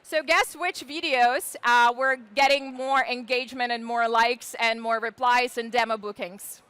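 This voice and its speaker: Bright high-voiced woman presenting. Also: fairly even intonation, measured pace, smooth, no echo. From Ukraine. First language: Ukrainian. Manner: chatty and enthusiastic, with casual fillers. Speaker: startup founder presenting. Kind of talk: live presentation to an audience.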